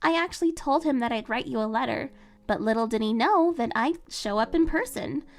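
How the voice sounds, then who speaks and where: A woman voicing a cheerful, eager teenage girl heroine who talks in a bubbly, excitable way. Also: on the high side, brisk, soft background music. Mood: upbeat.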